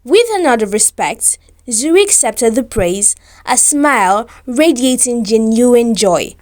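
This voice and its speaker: A woman's voice: a little high, animated, measured pace, darkish tone. A woman storyteller reading a scripted romantic tale in a formal, descriptive, careful manner.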